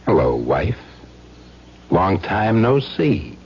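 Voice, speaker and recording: An actor playing a menacing, mocking long-lost husband in a 1970s radio drama. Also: male voice, low voice, very animated, drawn-out words, darkish tone, heavily acted. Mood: mocking menace. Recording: dull lo-fi radio recording, faint noise.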